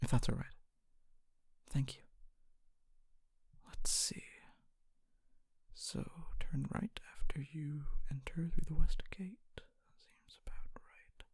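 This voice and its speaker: A performer voicing a kindly, humble fantasy city guard in a soft-spoken ASMR roleplay, often whispering, with a gentle, hesitant and reassuring manner. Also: mid-range voice, slow pace, long pauses, breathy edge, no echo, exaggerated voice. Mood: helpful, reassuring. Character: kindly, humble city guard, wannabe knight, calming and helpful.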